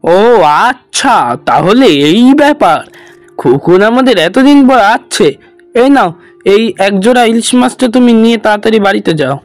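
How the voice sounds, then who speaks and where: A man's voice: sits high, animated, moderate pace, no echo, soft background music. A man voicing a teasing, chatty village fishmonger.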